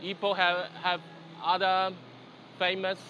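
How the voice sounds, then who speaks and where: Slow, hesitant man from China. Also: sits high, completely flat delivery, sharp tone, muffled recording, soft background music. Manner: slow, searching for words with many ums. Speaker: tourist, interviewee. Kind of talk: casual street interview. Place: outdoors.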